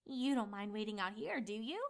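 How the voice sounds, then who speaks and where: A woman's voice: mid-range voice, expressive, fairly quick, gravelly. A woman voicing a stubborn, clever young female pony in a cartoon fan fiction, insistent, indignant and sly. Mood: sly, smug.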